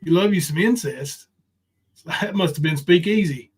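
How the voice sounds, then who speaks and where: A man with a fairly high-pitched voice hosts a livestream panel. He talks casually, in a folksy, rambling manner. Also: measured pace, slightly rough. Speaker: live panel stream host.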